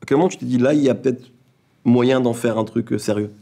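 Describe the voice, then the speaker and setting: A man hosting a casual interview, asking quick conversational questions. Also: medium pitch, gravelly, dark tone. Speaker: interviewer host.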